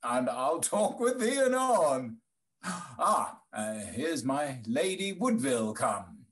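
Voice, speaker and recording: A man acts a testy old gentleman in a period comedy. He blusters and fusses in a slow delivery, with pitch that swings wildly up and down. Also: big pitch swings, exaggerated voice. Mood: fussy, testy. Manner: fussy, exclaiming, stammering. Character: blustering, hurried old gentleman, a stern father. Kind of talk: period stage comedy read aloud. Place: indoors, on a video call.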